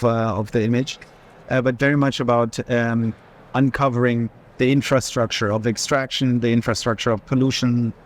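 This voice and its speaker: A man in an unscripted walking conversation about art, often turning his statements into questions with a short tag at the end. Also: mid-range voice. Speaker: art institution director in conversation.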